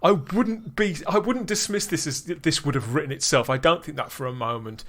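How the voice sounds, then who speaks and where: A man's voice: fairly high pitch, fairly quick, a bit bright. A man hosting a podcast, reviewing in casual chat, enthusiastic, with frequent restarts. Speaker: podcast host.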